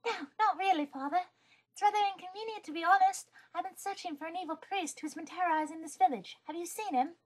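A woman's voice: extremely high pitch, fast talker. A woman voicing a polite, naive young policewoman in a parody cartoon. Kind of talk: fan-dub parody cartoon acting.

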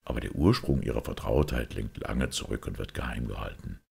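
Guy doing a sombre priest detective, super low and really husky, measured audiobook talk. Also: expressive, darkish tone, full character voice. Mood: explaining. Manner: measured, reflective explaining. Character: grave, thoughtful priest detective, calm and sombre. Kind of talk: audiobook character acting.

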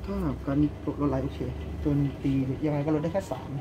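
A man playing a card game in a comic shop, calmly explaining the card rules to his opponent. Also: fairly high pitch, fairly quick, background noise. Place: comic book shop, indoors.

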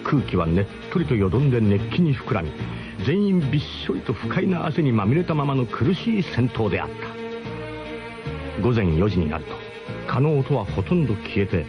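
A man's voice: mid-range voice, very animated, moderate pace, over music. A man narrating a radio drama as comic first-person storytelling, in an emphatic, mock-grandiose manner. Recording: muffled radio broadcast with clear background noise.

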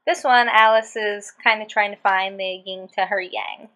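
A woman's voice: mid-range voice, steady tone, normal speed, a bit bright. A young woman in her twenties reading her own book posts aloud with a sarcastic, exasperated delivery, recorded on a webcam in a small bedroom. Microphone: webcam at desk.

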